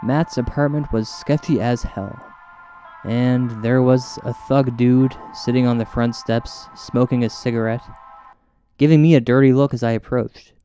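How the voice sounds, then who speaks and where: A man hosting and narrating a spooky comedy as a paranormal investigator, mock-serious and deliberate in his storytelling. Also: mid-range voice, steady tone, dark tone. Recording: music bed under narration. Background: music.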